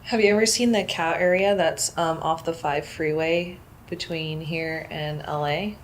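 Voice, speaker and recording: A woman asking questions in a relaxed interview, her voice a little lower than average. She hesitates with "um" before asking and reacts with short replies. Faint background noise sits under her voice. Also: unhurried.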